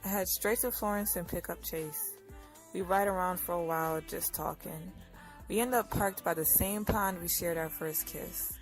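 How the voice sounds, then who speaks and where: A woman's voice: lowish voice, steady tone, moderate pace, faint music behind, close to an everyday voice, low-quality recording. An actress playing a young married woman in an audio drama, narrating and talking in a wry, conversational way. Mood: reflective. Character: Iris, weary young married woman narrating her own story. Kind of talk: first-person audio drama narration and dialogue.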